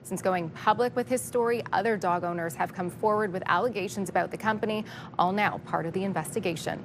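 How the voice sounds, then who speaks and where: A woman's voice: medium pitch, quick pace, background noise. Woman TV reporter, scripted news delivery.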